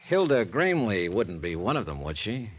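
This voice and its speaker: An actor playing a wry, self-assured hard-boiled investigator in a clipped 1950s radio detective style. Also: male voice, lowish voice, very animated, moderate pace. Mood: businesslike. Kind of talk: 1950s radio detective acting with first-person narration.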